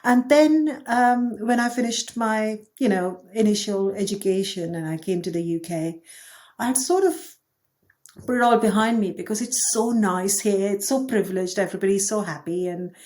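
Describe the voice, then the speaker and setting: A woman's voice: mid-range voice, moderate pace, darkish tone. A woman from India, speaking as a podcast guest in a thoughtful, candid conversational manner. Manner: thoughtful, candid, light laughs. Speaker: podcast guest, agency co-founder. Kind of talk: reflective conversational interview.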